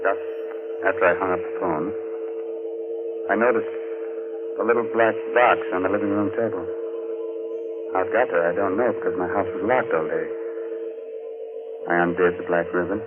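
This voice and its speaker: An actor playing a grave, haunted male sleuth in a 1940s radio mystery, with deliberate, suspenseful delivery. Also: sits low, over loud music, lightly acted. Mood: hushed suspense. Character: grave, haunted male amateur sleuth telling his own story. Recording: noisy, tinny 1940s radio recording.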